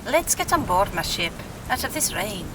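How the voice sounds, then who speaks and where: An actress playing a stern, guarded bounty hunter in an audio drama, speaking firmly. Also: female voice, big pitch swings, normal speed, far from the mic, natural voice. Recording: noisy rain and rumble effects.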